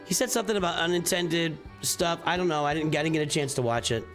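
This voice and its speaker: A man's voice: fairly high pitch, fast, a bit bright, soft background music. A man hosting a casual live stream, reviewing game rosters in a rambling, offhand way, often correcting himself mid-sentence.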